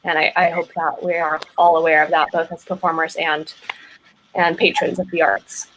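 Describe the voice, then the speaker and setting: A woman's voice: low-pitched, level tone, moderate pace, a bit bright, a little hiss or hum, low-quality recording. Earnest, careful woman on a call. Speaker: actor in an online play reading. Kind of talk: earnest personal remarks.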